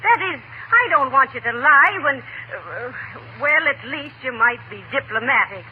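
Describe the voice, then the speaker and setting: An actress playing a sensible, tactful, exasperated wife with a polite but fretful manner in an old-time radio comedy. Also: female voice, sits high, big pitch swings, moderate pace. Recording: scratchy tinny vintage radio broadcast.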